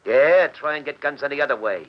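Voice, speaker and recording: An actor playing a gruff, greedy racketeer boss in a 1940s radio crime drama, with slangy gangster talk. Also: male voice, on the high side, animated, a little fast, natural voice. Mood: wary, bargaining. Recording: muffled old 1940s radio broadcast.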